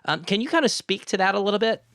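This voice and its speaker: A man's voice: sits high, lively intonation, fast talker, pushed and bright, no room sound, studio quality. A man hosting a podcast, asking questions in a conversational interview style.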